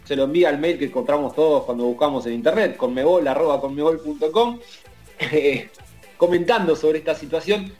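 Argentine man, host, higher-pitched, soft music behind, narrates with stammers. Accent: Argentine Spanish. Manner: narrating events, stammers at times. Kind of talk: casual sports talk.

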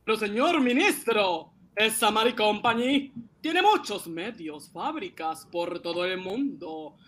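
A woman's voice: medium pitch, expressive, unhurried, fairly bright tone. A woman playing a proud, indignant owner of a small national factory in a radio drama, protesting emphatically. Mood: pleading.

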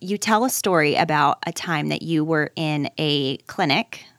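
A woman's voice: low-pitched, moderate pace, a bit bright, no room sound, studio quality. A woman hosting an interview, affirming her guest and leading into questions with long run-ups. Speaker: host.

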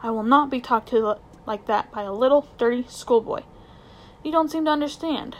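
A woman's voice: fairly high pitch, brisk, slight background noise, natural voice. A woman voicing a pompous, scheming elderly male magician with a haughty, lecturing manner in a storybook reading. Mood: blustering. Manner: haughty, lecturing, self-important.

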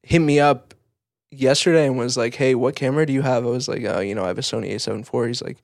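A man's voice: medium pitch, fast talker, gravelly, darkish tone, no echo, clean studio sound. A man co-hosting a podcast, chatting casually and candidly with a wry, self-deprecating manner. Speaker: podcast co-host.

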